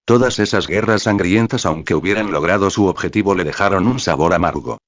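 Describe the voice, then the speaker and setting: A man narrating a fan novel in a steady, even audiobook style. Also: low voice, fairly quick, no echo, lo-fi sound.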